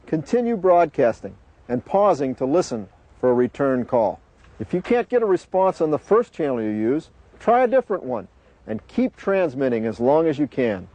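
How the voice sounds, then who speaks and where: A man giving deliberate, instructive scripted narration for a safety training video. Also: fairly high pitch, warm tone.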